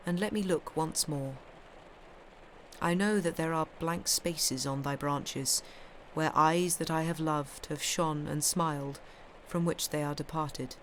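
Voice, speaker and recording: A woman's voice: low voice, a little hiss or hum, rough recording. A woman hosting a live stream of festive readings from home, speaking into a streaming microphone. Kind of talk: expressive literary reading aloud; casual live-stream chat.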